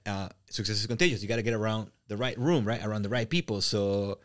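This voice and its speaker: A man with a Mexican Spanish accent and a somewhat low voice tells stories as a podcast guest. His delivery is animated, full of restarts and fillers, and he often repeats words as he builds up a point. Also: fast. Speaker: real estate brokerage owner, guest. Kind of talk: casual podcast interview.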